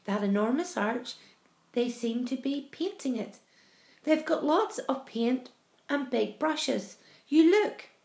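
A woman's voice: high-pitched, animated, takes it slowly, vocal fry, a little mellow, echoey room, heavily acted. A woman voicing an earnest, watchful boy in a storybook, speaking with urgent wonder. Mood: hushed urgency.

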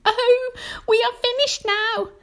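A woman's voice: very high voice, fairly quick, pitched above the performer's own voice. A woman voicing an anxious, despairing female spider in a thin storybook voice.

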